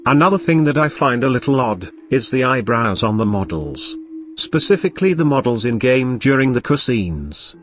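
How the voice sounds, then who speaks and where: A young man talks through a retro game review in a casual, upbeat voice of middling pitch. He mixes enthusiasm with dry joking asides. Soft game music plays underneath. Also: sing-song, normal speed. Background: game music playing.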